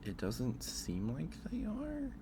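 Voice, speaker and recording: A man's voice: fairly high pitch, wildly swooping pitch, slow pace, noisy background. A man streaming ASMR in a casual, hesitant way, repeating his phrases as he thinks aloud. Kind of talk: ASMR livestream, casual chat ranking things.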